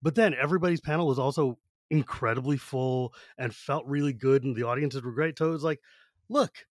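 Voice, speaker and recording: A man's voice: medium pitch, a little fast, no echo, clean studio sound. A male podcast host telling stories in casual chat, with a chatty, enthusiastic, slangy manner. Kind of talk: casual chat, animated storytelling.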